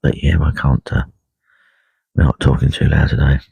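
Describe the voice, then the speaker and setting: A man with a croaky voice talking quietly and unhurriedly on a live stream from a lake bank, full of ums and uhs. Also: very deep voice, level tone, moderate pace, no room sound. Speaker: carp angler livestreaming. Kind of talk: casual live stream chat. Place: lakeside fishing swim outdoors. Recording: dull lo-fi live stream audio.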